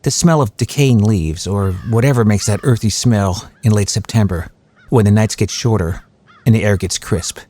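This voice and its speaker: A man playing a small-town husband and father, narrating his memories in a measured, conversational way for a horror audio drama. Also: fairly low pitch, mellow, lightly acted. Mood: reflective, measured. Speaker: first-person narrator. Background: music.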